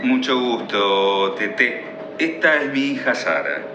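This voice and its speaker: A man's voice: mid-range voice, slow pace, music in the background, natural voice. An actor with an Argentine accent playing a courteous, indulgent father in a radio play, speaking in a wordy, mannered way. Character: courteous, indulgent father, a talkative story-minded gentleman. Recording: roomy, muffled, noisy recording.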